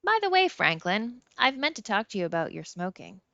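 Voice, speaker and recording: Audiobook role: formal male doctor, indirect and stuffy, measured phrasing, performed by a woman, slightly dull dry recording. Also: mid-range voice, sing-song, vocal fry, sharp tone, no room sound, pitched above the performer's own voice, heavily acted. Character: pudgy male psychiatrist, stuffy, indirect, politely probing.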